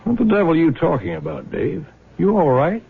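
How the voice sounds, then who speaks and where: An actor playing a plain-spoken older small-town father in a radio drama, blunt and folksy, with a gravelly, husky voice. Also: fairly high pitch, animated, a little fast, full character voice. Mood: blunt, concerned. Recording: muffled old radio drama recording.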